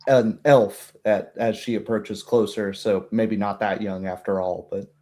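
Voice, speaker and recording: Slow, mellow, low-voiced man running a game. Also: fairly even intonation. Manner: hesitant, halting delivery. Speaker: game master. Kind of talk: improvised tabletop game narration.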